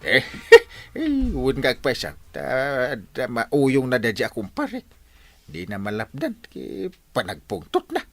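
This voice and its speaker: A man with an Ilocano accent playing an excitable village captain with a halting, comic delivery in a radio drama. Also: mid-range voice, no echo, soft background music, full character voice. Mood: amused.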